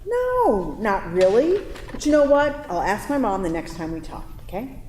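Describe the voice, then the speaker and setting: A woman in a staged play reading, playing a sharp-tongued, sarcastic and exasperated young girlfriend in an echoey hall. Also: medium pitch, very animated, normal speed, natural voice. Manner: sarcastic, profane, incredulous. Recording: echoey theatre hall, some background noise.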